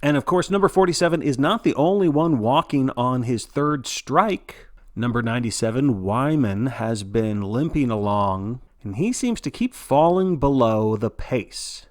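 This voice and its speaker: A man playing an earnest, folksy sports broadcaster, giving scripted play-by-play with a dramatic announcer delivery and suspenseful pauses. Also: medium pitch, animated, no room sound, studio quality, close to an everyday voice. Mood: concerned. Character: earnest, folksy male sports broadcaster narrating a grim contest.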